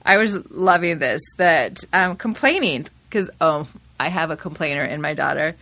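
A woman hosts a relaxed studio conversation with a loud, lively delivery and frequent laughter. Her voice is bright and forceful, a little low for a woman, and sits in a dry, close studio sound. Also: no echo. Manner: loud, animated, quick to laugh. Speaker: host. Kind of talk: casual podcast discussion. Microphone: studio microphone.

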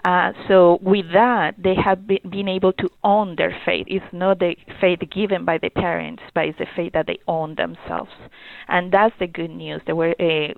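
Earnest woman from Colombia, gravelly voice. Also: on the low side, level tone, no echo. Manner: earnest, halting, with many fillers and restarts. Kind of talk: interview answers. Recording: dry, phone-like narrow sound.

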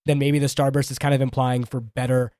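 A young man co-hosting a casual tech podcast, chatty and quick, a little under the weather. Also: mid-range voice, little pitch movement, mellow, dry recording. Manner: quick, chatty, many restarts and "like"s. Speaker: podcast co-host.

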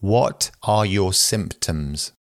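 A man reading English phrases slowly and carefully for learners, saying each one twice. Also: sits low, no room sound, clean studio sound. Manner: slow, careful, even delivery.

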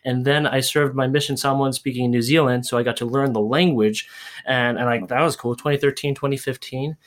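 A young man from Southern California, a podcast guest in casual chat, pausing often with fillers and restarting his sentences. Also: medium pitch, fairly even intonation. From Orange County, California. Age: young adult. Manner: hesitant, many fillers and restarts. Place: room in Hawaii. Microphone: shared earbud with the host.

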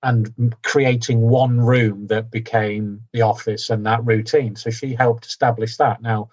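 A man from the UK talking candidly as a podcast guest, loud and direct, often mocking himself. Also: medium pitch, level tone, unhurried, husky, lo-fi sound. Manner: loud, direct, self-deprecating. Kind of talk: candid conversational interview.

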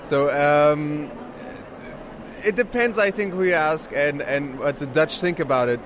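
A man from Germany answering an interview in an easygoing, careful way, pausing with fillers. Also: a little high. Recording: noisy outdoor recording.

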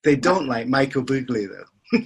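An older man, a surgeon and podcast guest, telling anecdotes with dry humour in a relaxed interview. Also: medium pitch, measured pace, gravelly, slight background noise. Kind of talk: conversational interview.

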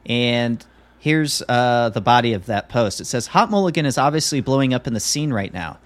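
A man's voice: mid-range voice, lively intonation, moderate pace. A male podcast host with a mid-range voice, chatting casually. He talks in an easygoing, conversational way, as if to a co-host and regular listeners.

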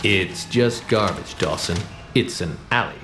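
A man's voice: deep voice, unhurried, a bit bright. An actor playing a dismissive, hard-boiled male private detective in a noir parody podcast, recorded with echo. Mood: dry, dismissive. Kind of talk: noir parody audio drama acting. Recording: echoey, faint background noise.

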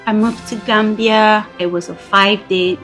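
A woman's voice: mid-range voice, fairly even intonation, normal speed, fairly bright tone, soft background music. A young woman from Liberia, candidly telling her personal faith story as a podcast guest and laughing easily. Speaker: guest sharing her testimony.

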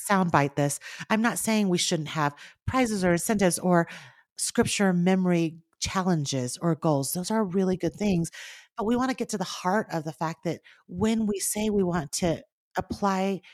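Woman co-host, native Mandarin speaker, lowish voice, dry close sound, earnest and animated. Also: normal speed, no room sound. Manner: earnest, animated, speeds up in bursts. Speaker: podcast co-host. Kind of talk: casual faith podcast chat.

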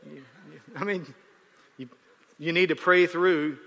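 A man's voice: a little high, steady tone, a little slow, gravelly, sharp tone. A man preaching in a loose storytelling way, often restarting his sentences. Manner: rambling, self-correcting, laughs at times. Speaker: pastor. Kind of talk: conversational sermon storytelling. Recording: long hall reverb.

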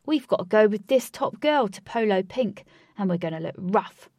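A woman voicing a hot-headed young man who talks loudly in brash street slang. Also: mid-range voice, animated, normal speed, dry recording, clean studio sound, close to an everyday voice.

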